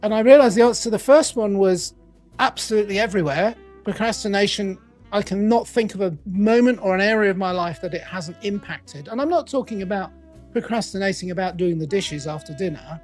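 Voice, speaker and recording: A middle-aged man from England with a British accent, a coach telling his story as a guest in a conversational interview, animated and playing out his own quoted thoughts. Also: high-pitched, brisk, faint music behind. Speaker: guest, accountability coach and author.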